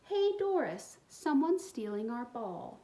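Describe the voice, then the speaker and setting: A rowdy storybook boy, played by a woman, hollering in a high, excited pitch. He sounds like a bully's eager sidekick, calling out loudly and swooping in tone. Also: slow pace, echoey room. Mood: excited, taunting.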